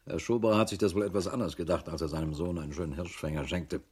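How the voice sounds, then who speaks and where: Husky, grumbling old-school police chief. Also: male voice, low voice, steady tone, quick pace, a little mellow. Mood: grumbling, sardonic. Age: 50s. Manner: sardonic, grumbling. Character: grumbling world-weary police chief in his fifties. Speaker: actor in a radio drama. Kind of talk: German radio crime drama acting.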